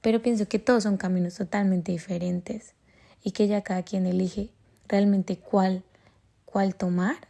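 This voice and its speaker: Mellow-voiced Colombian woman telling a story. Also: mid-range voice, little pitch movement, a little slow, gravelly. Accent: Colombian Spanish. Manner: rambling with many fillers and restarts. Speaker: podcast host. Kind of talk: casual personal storytelling.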